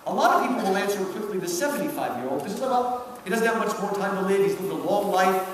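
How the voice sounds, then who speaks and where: A man in his fifties lectures emphatically in a high voice with lively, swinging intonation. He speaks like a professor, throwing out questions and checking in, and his voice rings through a very echoey hall. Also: fast. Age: 50s. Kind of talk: animated public lecture commentary. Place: large indoor hall. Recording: echoey hall, distant mic, background noise.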